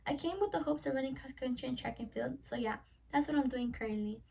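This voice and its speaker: A woman's voice: high voice, fast talker, gravelly, a little mellow, breathy edge. An eighteen-year-old woman, a college student whose first language is Spanish, giving an earnest prepared introduction speech and often wrapping up with "so yeah.". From United States. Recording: voice a little far from the mic.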